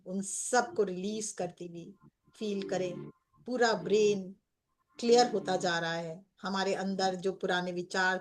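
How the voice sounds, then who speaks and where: A woman with an Indian accent leading a guided meditation as a coach, speaking in gentle, repetitive phrases with pauses. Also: mid-range voice. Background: background music.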